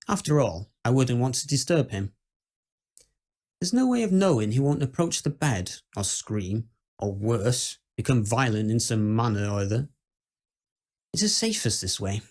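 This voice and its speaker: Man acting a formal, uneasy Victorian gentleman storyteller. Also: medium pitch, lightly acted. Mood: uneasy, wry. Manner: formal, old-fashioned, measured phrasing. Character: dread-filled, skeptical Victorian gentleman recounting house ghosts. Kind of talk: first-person dramatic ghost-story monologue.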